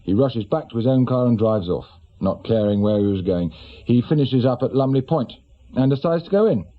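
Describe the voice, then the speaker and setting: Man as senior English detective for radio play: methodical, authoritative, mid-pitched, slightly dull recording. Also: lively intonation, close to an everyday voice. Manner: measured, authoritative, deliberate. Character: brisk, methodical senior police inspector reasoning out a case. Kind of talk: naturalistic British radio drama acting.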